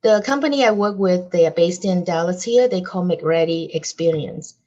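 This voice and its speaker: Low-pitched woman on muffled podcast audio. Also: normal speed. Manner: animated, with frequent "uh" and "um" fillers; laughs lightly as she talks. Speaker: guest, clothing designer and business owner. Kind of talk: conversational podcast interview.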